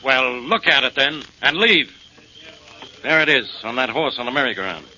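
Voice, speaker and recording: An actor playing a gruff, irritable police inspector in a 1940s radio drama, snappish and blustering. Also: male voice, medium pitch, animated, normal speed, pushed and bright. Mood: irritated, blustering. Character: gruff, irritable, easily exasperated police inspector, a grown man. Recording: muffled, noisy 1940s radio recording.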